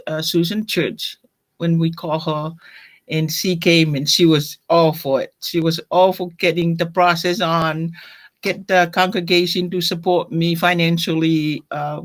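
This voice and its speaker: An older woman from Tonga with a Tongan accent, telling her personal story in an interview in a reflective, halting way. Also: on the low side. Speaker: deacon, interview guest.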